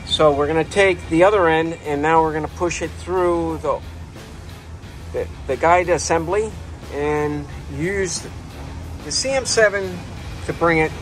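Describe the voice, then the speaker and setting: Male technician, slightly high voice, step-by-step hands-on tutorial delivery, music behind. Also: a little slow. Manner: instructional, step-by-step explaining. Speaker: marine electrical technician presenting repairs.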